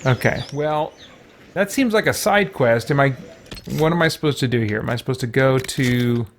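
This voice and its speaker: A man's voice: medium pitch, lively intonation, moderate pace, a little hiss or hum. A man giving chatty, wry commentary on a game stream, sometimes reading game text aloud.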